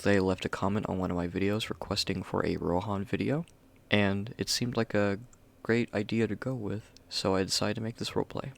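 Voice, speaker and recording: A man speaking softly as he introduces his ASMR roleplay video. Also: fairly low pitch, little pitch movement, a little fast, gravelly.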